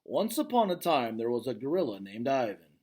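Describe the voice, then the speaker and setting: A man voicing a gentle, weary, reluctant male silverback gorilla who tells his story reflectively in a storybook reading. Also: medium pitch, big pitch swings, brisk.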